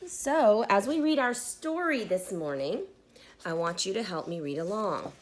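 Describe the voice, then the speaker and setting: Woman, children's read-aloud, teacherly, unhurried, highly varied pitch, interactive pauses. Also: lively intonation. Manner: deliberate, pausing for listeners to join in.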